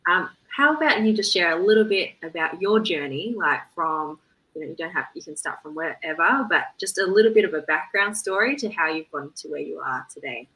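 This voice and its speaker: A woman hosts a podcast interview, introducing her guest and asking questions. Her voice sits a little low but sounds bright and crisp. Her phrasing is chatty and loose, full of fillers. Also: animated, brisk, rough recording. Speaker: podcast host.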